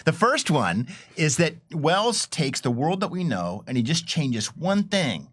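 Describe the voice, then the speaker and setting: A man speaking as a game designer on a discussion panel, explaining things in an animated, professorial way. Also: on the high side, a little slow, dry recording.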